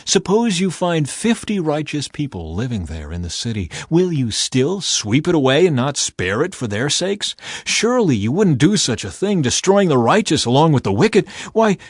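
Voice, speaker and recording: A man's voice: fairly high pitch, husky, dry recording, studio quality, pitched above the performer's own voice. A man playing a humble, earnest old patriarch who pleads deferentially in a dramatized scripture audiobook.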